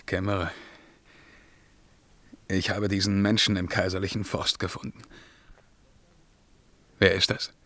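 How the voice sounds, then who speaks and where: An actor playing an imperious, brooding emperor in a verse radio drama, declamatory and commanding in a solemn, measured way. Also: male voice, sits low, level tone, sharp tone, no room sound. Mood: agitated, suspicious. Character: imperious, brooding Byzantine emperor, haughty and troubled.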